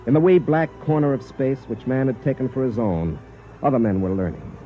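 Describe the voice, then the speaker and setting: A man's voice: mid-range voice, lively intonation, a little fast, lightly acted. An actor playing a weary, stubborn soldier on a colony world, narrating his story in plain, grim first person, in 1950s radio drama style. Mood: reflective. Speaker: narrator and lead character. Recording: dull old radio broadcast.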